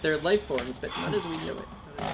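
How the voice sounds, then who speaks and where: A woman with a low voice chats casually as co-host of a livestream. She is a native Plautdietsch Low German speaker, and there is clear noise behind her. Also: lively intonation, moderate pace. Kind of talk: casual chat. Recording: noticeable background noise.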